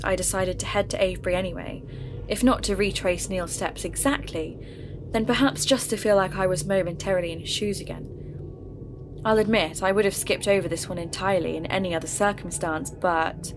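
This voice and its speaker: A woman narrating her investigation of a local mystery in an earnest, measured way, in a scripted audio drama. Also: mid-range voice, music in the background, low-quality recording.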